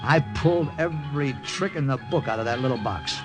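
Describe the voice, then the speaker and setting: An actor playing a brash, wisecracking American agent and magician in an old radio drama, with showy theatrical patter. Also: male voice, medium pitch, brisk, lightly acted, rough recording. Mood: wry boasting. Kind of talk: 1950s radio drama acting. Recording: old radio recording with faint music.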